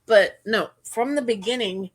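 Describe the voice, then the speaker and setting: A woman's voice: on the high side, a little slow, rough recording. A middle-aged woman co-hosting a podcast, talking in earnest, run-on explanations in casual chat. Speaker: podcast co-host.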